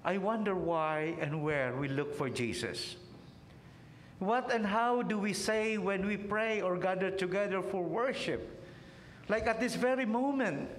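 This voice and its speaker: A man in his thirties delivers a sermon from a church pulpit in a high, unhurried voice, dropping low at the end of each phrase. The stand mic picks up a big, roomy church reverb. A faint rumble sits underneath. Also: a bit bright. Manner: slow, measured preaching with phrases falling away at the ends. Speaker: priest. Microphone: pulpit microphone on a stand.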